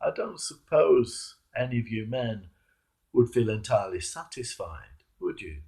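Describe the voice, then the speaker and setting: A man, a clergyman, reading a devotional sermon in a measured, emphatic manner with rhetorical turns. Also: mid-range voice, big pitch swings, gravelly, warm tone. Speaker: Anglican clergyman reading a reflection. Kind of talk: devotional sermon, read aloud.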